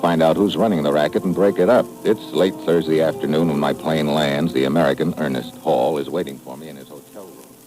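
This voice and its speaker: An actor playing a hard-boiled government agent who narrates and trades dry wisecracks, in a 1950s radio spy drama. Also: male voice, rumbling low, fairly even intonation, brisk, hardly any pauses, music in the background, rough recording.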